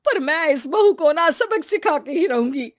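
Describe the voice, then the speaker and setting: A woman's voice: sits high, expressive, unhurried, no echo, pitched above the performer's own voice. Female actor as indignant older cartoon mother-in-law, scolding, theatrical, dry studio sound. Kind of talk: animated family comedy acting.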